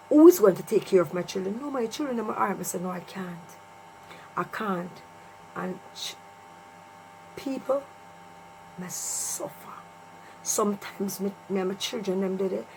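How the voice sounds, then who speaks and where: A woman's voice: mid-range voice, a little mellow, rough recording. Jamaican woman storytelling over humming noise. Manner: emphatic, repeats phrases for effect. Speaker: vlogger telling a personal story. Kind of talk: casual personal storytelling to viewers. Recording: clear mains hum and noise.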